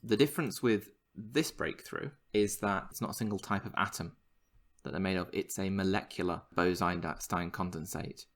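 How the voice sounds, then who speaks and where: Man, low voice, podcast co-host, casual, excitable science explainer. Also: normal speed. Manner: enthusiastic, animated explainer.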